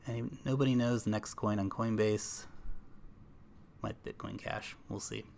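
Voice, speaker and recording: A man's voice: on the low side, fairly even intonation, unhurried, creaky, a little mellow, a little hiss or hum. A young man with an American accent, hosting a casual livestream, reading out viewer comments and chatting with fillers along the way. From New Zealand. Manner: chatty, addresses viewers as guys, thinks aloud with fillers. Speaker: livestream host.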